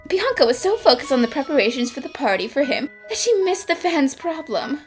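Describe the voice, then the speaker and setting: Woman acting a high, lively girl detective. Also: brisk, soft background music. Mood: bright, curious. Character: perky, inquisitive young female detective. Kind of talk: animated mystery fan dub acting.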